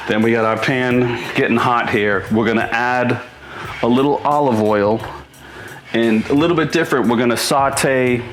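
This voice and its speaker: A man's voice: lowish voice, fairly bright tone. A middle-aged American man from Boston hosting a home cooking show, talking informally and thinking aloud. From Boston, USA. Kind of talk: casual cooking demonstration. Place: indoors in a home kitchen. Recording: roomy echo with music behind. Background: music.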